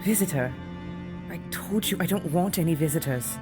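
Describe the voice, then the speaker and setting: A woman's voice: on the low side, normal speed, close to an everyday voice. Guarded imprisoned mother voiced by an actress, earnest and urgent, audio drama with light music beneath. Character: guarded, anguished imprisoned mother hiding evidence.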